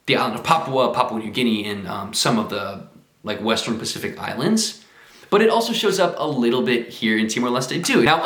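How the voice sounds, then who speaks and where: A man's voice: mid-range voice, a bit bright. A man chatting to camera about his travels, eager and full of exclamations. His voice sits in a middling register and rings in a roomy, echoey space. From United States. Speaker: travel vlogger. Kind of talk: casual travel vlog talk to camera. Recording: roomy echo.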